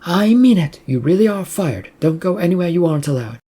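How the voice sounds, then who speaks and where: A reader acting out an imperious woman executive in a story, evasive and threatening. The voice is mellow and a little husky, with formal, curt commands. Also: mid-range voice, animated, fairly quick, pitched above the performer's own voice. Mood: angry. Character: imperious, angry female CEO, evasive and threatening.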